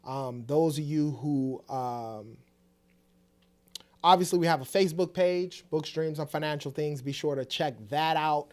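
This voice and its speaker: A man in his late thirties with a somewhat high voice for a man. He speaks casually and conversationally, as the co-host of a family vlog. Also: measured pace, a little mellow. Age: late 30s.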